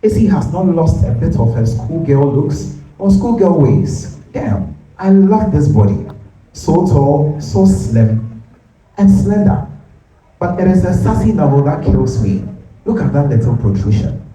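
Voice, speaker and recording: An actor with a Ghanaian accent playing a lustful, resentful husband in a theatrical stage reading. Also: male voice, a little high, sing-song, unhurried, mellow, slight background noise, low-quality recording. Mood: lustful, admiring.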